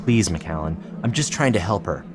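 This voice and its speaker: An actor playing an earnest, persuasive psychiatrist in an audio drama, explaining in a measured, solemn way. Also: male voice, low-pitched, animated. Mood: urgent, pleading. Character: earnest, persuasive male psychiatrist pressing his case. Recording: clearly noisy background ambience.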